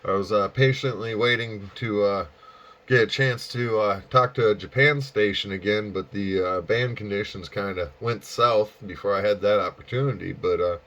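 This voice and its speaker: A man making casual amateur radio small talk in a halting, folksy way, with a dull, muffled sound. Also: on the low side, a bit bright. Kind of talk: casual radio contact chat.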